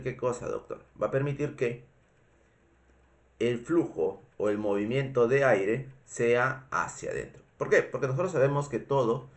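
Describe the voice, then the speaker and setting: A man with a Peruvian Spanish accent teaches in an informal lecture style. His voice sits at a medium pitch and sounds congested. He explains step by step, repeating key phrases and checking in with short questions. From Peru. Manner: didactic, repetitive, frequent checking questions. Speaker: medical instructor.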